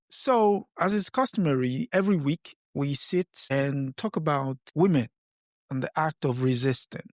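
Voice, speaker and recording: A man hosting a podcast, introducing and questioning his guest in measured, formal phrasing. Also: on the high side, darkish tone, no echo, clean studio sound. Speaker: podcast co-host.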